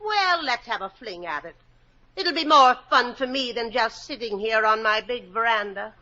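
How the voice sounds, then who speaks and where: An actress playing a quick-witted, teasing married woman who is bored and feisty, in a 1940s radio comedy. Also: on the high side, animated, bright, forceful tone, lo-fi sound. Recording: scratchy, noisy 1940s radio broadcast.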